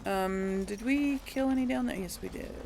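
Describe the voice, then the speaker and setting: A woman's voice: medium pitch, moderate pace, creaky, darkish tone, slight background noise. A woman hosting a casual let's play, thinking aloud and chuckling often. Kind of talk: casual gameplay commentary.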